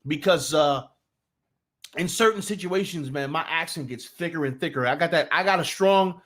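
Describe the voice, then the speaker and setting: A man's voice: a little high, normal speed, fairly bright tone. A young man from New Jersey with a Jersey accent, hosting a casual live sports talk stream. He talks loosely and profanely, restarting sentences and greeting his chat.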